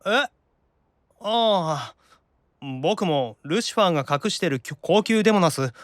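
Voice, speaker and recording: Flustered, animated otaku demon brother. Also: male voice, sits high, measured pace, no echo, clean studio sound. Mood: guarded, gloomy. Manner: hesitant, stammering, exasperated muttering. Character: self-deprecating young shut-in otaku demon brother, flustered and sulky. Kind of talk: Japanese anime-style audio drama.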